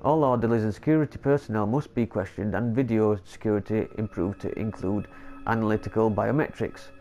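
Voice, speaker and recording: Stuffy-nosed gamer commentating. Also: male voice, fairly low pitch, fairly even intonation, fairly quick, dark tone, faint music behind. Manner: reads in-game notes aloud, stumbling over long words and joking dryly. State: congested with a cold. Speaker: gameplay commentator. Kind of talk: casual let's play commentary.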